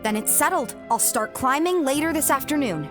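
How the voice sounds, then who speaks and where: A woman's voice: high voice, animated, a little fast, over music. A woman playing a determined, feisty young girl with a blunt, youthful manner in a family audio drama.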